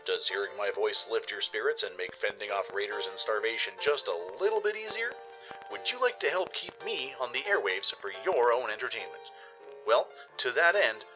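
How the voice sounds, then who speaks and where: A man giving an expressive, theatrical scripted narration as the narrator of a radio play. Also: medium pitch, low-quality recording.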